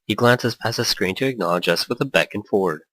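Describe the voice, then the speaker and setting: A man's voice: fairly low pitch, a little fast, fairly bright tone, dry recording. A man hosting a let's play, reading a visual novel aloud with playful, goofy asides. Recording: faint music behind the voice. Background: faint background music.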